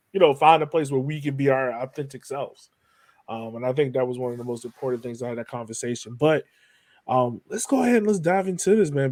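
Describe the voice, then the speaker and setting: A man's voice: medium pitch, a little mellow, no echo. A man hosting a podcast, chatting informally and familiarly as he interviews a guest. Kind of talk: casual conversational podcast interview.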